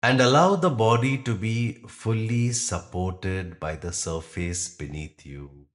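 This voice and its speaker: Very slow, deep-voiced man guiding meditation. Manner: calm, unhurried, gentle. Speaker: yoga nidra meditation guide. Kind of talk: guided meditation, slow instruction with long pauses.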